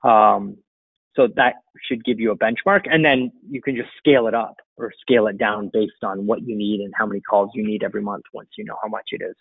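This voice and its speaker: A man's voice: medium pitch, breathy edge, no echo. A middle-aged man answering questions informally as a webinar host, explaining things conversationally at his computer. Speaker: webinar host and marketing coach. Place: indoor room, seated at a computer. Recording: dull, dry lo-fi webinar audio.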